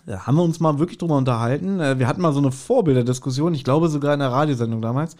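A man's voice: mid-range voice, very fast, darkish tone. A man, a podcast co-host telling anecdotes in casual chat, amused and acting out short quotes.

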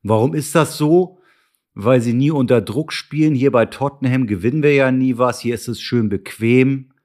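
A man's voice: mid-range voice, normal speed, a little mellow, pitched above the performer's own voice, lightly acted. A man imitating a ranting Italian football coach, indignant and emphatic.

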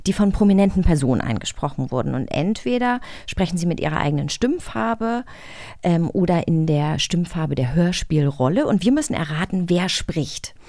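Woman cohost chatting, slightly low voice, really dry studio-close sound. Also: expressive, normal speed, mellow, dry recording. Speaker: magazine co-host. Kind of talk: conversational hosting.

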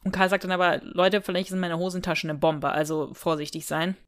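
A woman's voice: lowish voice, steady tone, fast talker. A woman co-hosting a podcast in casual, colloquial chat, recapping a story and often tacking on little tag questions. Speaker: podcast co-host.

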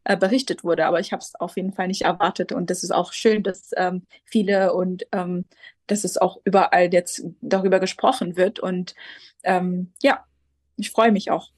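A woman in her twenties from Jerusalem, a pastor answering interview questions hesitantly, with many pauses and restarts. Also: on the low side, steady tone, a little mellow, no room sound. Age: 20s. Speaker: Lutheran pastor, guest.

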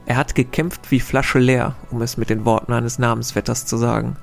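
Guy with a gravelly voice hosting a quiz show, chatty and rambly, faint music behind. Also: mid-range voice, level tone, normal speed. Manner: chatty, rambling and self-correcting asides. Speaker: quiz podcast host. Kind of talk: casual quiz podcast, reading out riddles.

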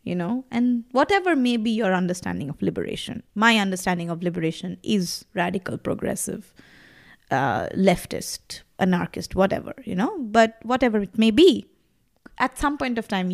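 A woman's voice: medium pitch, lively intonation, normal speed, creaky. A woman in her thirties whose first language is Bangla, talking in a reflective, passionate way with many fillers as a podcast guest. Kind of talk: casual conversation.

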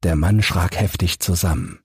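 A man's voice: deep voice, moderate pace, husky, dry recording, studio quality. A man reading literary narration as an audiobook reader.

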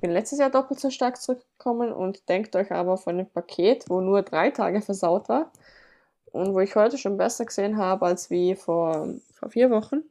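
A woman's voice: mid-range voice, moderate pace, warm tone. A woman guest in a casual podcast interview, sounding congested from a cold, who rambles and keeps correcting herself. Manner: rambling, frequent self-corrections. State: congested, stuffy nose from a cold. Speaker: athlete guest.

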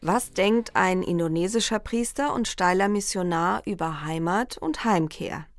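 A woman interviewing an author, asking measured, formal questions and reading a quote from his book. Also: fairly low pitch, no room sound, studio quality.

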